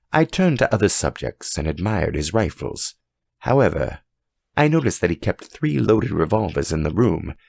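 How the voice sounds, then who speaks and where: Male storyteller, low-pitched, dry close sound, measured, suspenseful, theatrical horror audiobook narration. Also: vocal fry, a little mellow, no echo.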